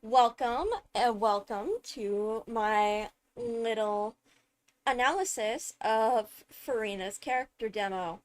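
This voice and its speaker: A woman streamer giving casual, emphatic analysis of a game video, halting as she thinks through points. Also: fairly high pitch. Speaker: streamer, host.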